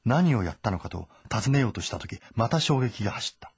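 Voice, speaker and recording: A man reading dramatic scripted narration of a historical novel, in terse, measured sentences. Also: on the low side, lively intonation, husky, dry recording. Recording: voice over background music.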